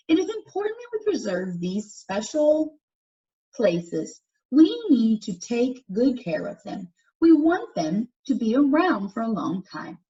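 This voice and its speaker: A woman teacher reading educational text aloud for children with deliberate, instructional phrasing. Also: mid-range voice, dark tone. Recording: dull, distant microphone pickup.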